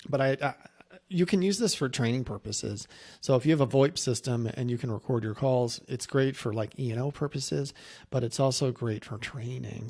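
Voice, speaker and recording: A man's voice: medium pitch, a little mellow. A man speaking casually as a coach, sharing anecdotes and practical advice in a conversational way. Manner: conversational, anecdotal, acting out quoted remarks. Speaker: insurance agency coach and presenter. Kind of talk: casual coaching talk to camera.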